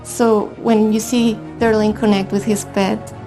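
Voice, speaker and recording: A woman's voice: mid-range voice, little pitch movement, a little slow, over music, low-quality recording. A woman speaking as a game developer in a documentary-style interview.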